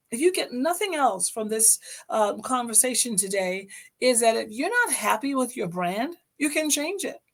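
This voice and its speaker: A middle-aged woman with a hoarse voice hosting her own motivational podcast, speaking encouragingly and directly to her listeners. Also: high-pitched. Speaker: podcast host.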